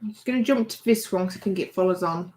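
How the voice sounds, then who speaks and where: A woman's voice: medium pitch, rapid-fire, a little mellow, low-quality recording. A woman hosting a casual livestream, reading out chat messages and teasing, often trailing off mid-sentence.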